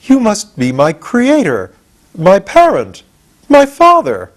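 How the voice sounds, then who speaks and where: A man's voice: high-pitched, wildly swooping pitch, slow pace, voice pushed up, heavily acted. A man voicing a naive, jovial pumpkin-headed man who asks curious, confused questions as a storybook character. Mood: wondering.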